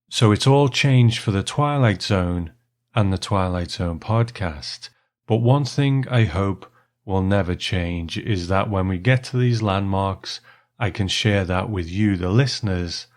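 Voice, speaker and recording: A man hosting a podcast, moving between prepared remarks and easy conversation with a guest. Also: deep voice. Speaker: podcast host. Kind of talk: podcast talk, partly prepared monologue and partly chat.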